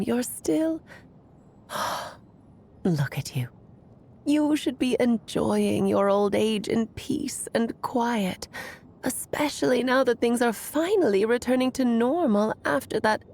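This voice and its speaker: A woman playing a whimsical, affectionate magician in a video game, with a theatrical, musing delivery and drawn-out phrasing. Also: a little high, expressive, a little mellow, exaggerated voice. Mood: fond concern. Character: whimsical, affectionate female magician and old friend. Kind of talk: dramatic video game voice acting. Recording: music under the voice.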